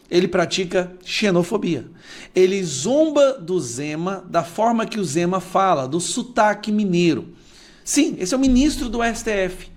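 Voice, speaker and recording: A man giving outraged political commentary, speaking emphatically and directly to the viewers. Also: sits high. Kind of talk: indignant video commentary.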